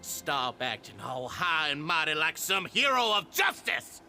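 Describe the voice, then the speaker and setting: An actor playing a cruel, self-righteous prison gang boss in a video game, ranting in a theatrical, menacing and grandiose way. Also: male voice, fairly high pitch, big pitch swings, a little slow, gravelly, pushed and bright, faint music behind, full character voice. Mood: furious, menacing.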